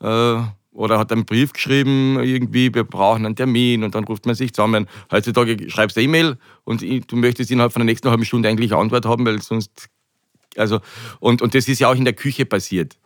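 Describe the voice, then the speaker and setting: A man's voice: mid-range voice, fairly even intonation, fast, no echo. Austrian man, hesitant talker, close dry sound. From Carinthia, Austria. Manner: hesitant, repeats words while searching for phrasing. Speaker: chef, interview guest. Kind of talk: conversational interview.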